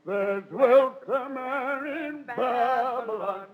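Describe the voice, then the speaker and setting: An actor playing a jolly, roguish, boozy older knight in a 1930s radio Shakespeare comedy. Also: male voice, very high-pitched, very slow, exaggerated voice. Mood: boozy jollity. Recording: muffled old 1930s radio broadcast.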